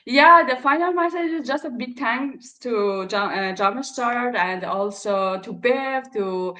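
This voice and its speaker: Halting woman pitching earnestly. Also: sharp tone, low-quality recording. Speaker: refugee entrepreneur pitching. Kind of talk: casual spoken pitch.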